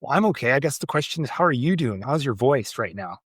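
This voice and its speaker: Man, podcast host, chatty, friendly recap, mid pitch, dry close recording. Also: animated, quick pace, a bit bright, breathy edge, dry recording. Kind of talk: casual sports chat.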